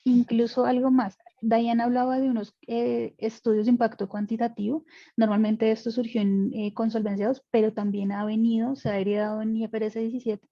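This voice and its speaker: Woman expert explaining, fairly high voice, dull lo-fi call sound, thinks aloud with restarts. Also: little pitch movement, a little fast. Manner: explanatory, with frequent fillers and restarts. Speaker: panelist, insurance expert. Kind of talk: technical webinar explanation.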